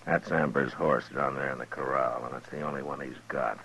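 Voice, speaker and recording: An actor playing a stern, weary frontier marshal with an American accent, terse and authoritative, in 1950s radio drama style. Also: male voice, extremely low pitch, steady tone, creaky, a bit bright, exaggerated voice, poor audio. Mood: grave, measured. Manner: terse, authoritative, hesitant pauses. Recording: hissy 1950s radio recording.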